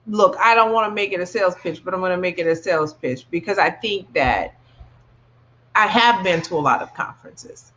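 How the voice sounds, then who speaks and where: A woman guest in a casual discussion, giving her opinions in a measured, conversational way. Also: on the low side, a bit bright. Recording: dull, lo-fi call audio.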